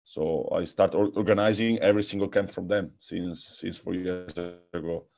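A man with an Italian accent, a guest answering interview questions, speaking haltingly with many repeated words and restarts. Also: deep voice, fairly even intonation, vocal fry, darkish tone, muffled recording. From Italy. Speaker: guest, futsal coach and technical director.

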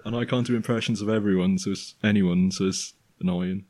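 Male guest, deep bassy resonant voice, deadpan storyteller, dry close sound. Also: brisk, no room sound. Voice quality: low resonant voice. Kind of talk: casual chat.

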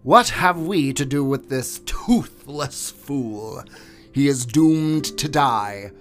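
Man putting on a roaring hateful tiger, slow drawled threats, faint music. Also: mid-range voice, lively intonation, fairly bright tone, pitched above the performer's own voice. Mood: menacing. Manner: slow, snarling, drawn-out threats.

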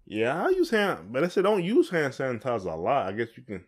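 A man's voice: mid-range voice, huge pitch swings, fairly quick, husky. A man with a Southern US accent co-hosting a podcast in a casual, folksy, playful and rambling way. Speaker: podcast co-host. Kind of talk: casual chat.

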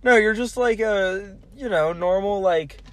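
A man's voice: high-pitched, a little slow. A teenage boy hosting a casual podcast with friends, playful and teasing. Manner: playful, teasing, mock-grand introductions. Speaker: podcast host. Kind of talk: casual chat.

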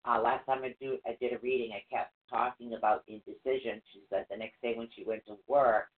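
A man's voice: mid-range voice, flat delivery, a little fast. Rambling man on a tinny line. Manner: casual, rambling with fillers. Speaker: co-host and reader. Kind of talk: live call-in psychic reading show. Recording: tinny phone-like line, faint noise.

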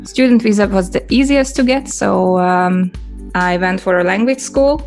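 A woman's voice: medium pitch, moderate pace, darkish tone, soft background music. A woman with a Hungarian accent, chatting casually and rambling through stories with lots of fillers. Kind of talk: casual chat.

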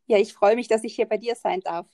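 A woman with a South Tyrolean German accent speaks as a guest on an interview podcast. She chats casually, often breaking into laughter at her own remarks. Her voice sits at a middle pitch and sounds dry and close. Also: quick pace, mellow, no echo. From South Tyrol, northern Italy. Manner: laughs often, chatty and self-mocking. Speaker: guest author.

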